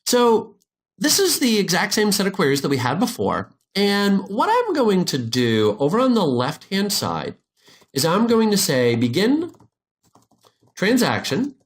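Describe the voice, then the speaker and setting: A man narrating a software tutorial step by step, in an informal, explanatory manner. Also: high-pitched, huge pitch swings, a little slow, slightly rough. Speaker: instructor.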